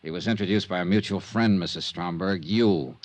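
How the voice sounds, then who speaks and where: An American actor playing a hard-boiled, sardonic insurance investigator in 1950s radio drama, with clipped lines and dramatic pauses. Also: male voice, deep voice, darkish tone, dull audio, natural voice. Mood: accusing, sardonic. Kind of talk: 1950s radio detective drama acting and narration. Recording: vintage radio recording with music.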